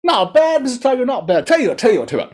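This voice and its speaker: A man voicing a small, cheeky, boastful frog in a folktale, talking in excitable bursts and repeating himself. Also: very high voice, voice pushed up, heavily acted. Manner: excitable, bragging, repeats words in quick bursts. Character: small cheeky boastful male frog, quick-witted trickster.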